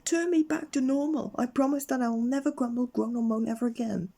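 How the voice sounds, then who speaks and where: Guy doing a sorry storybook pig, high wailing begging voice, pitiful. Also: fairly quick, creaky, mellow, full character voice. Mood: pleading. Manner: high, pleading wail. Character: pitiful grumbler turned into a pig, begging mournfully.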